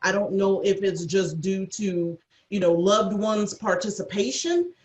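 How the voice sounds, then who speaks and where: A woman chatting casually on a video call, telling anecdotes in an earnest, halting way. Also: medium pitch. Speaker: audio editor and cast crew member. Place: indoor room on a video call. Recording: echoey room over video call.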